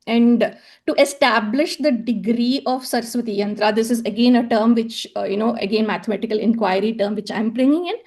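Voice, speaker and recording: A woman's voice: fairly high pitch, a little fast, a little mellow. A woman from South India, giving an academic presentation with slides, hesitant and full of fillers and repeated words. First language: Tamil. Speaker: conference presenter.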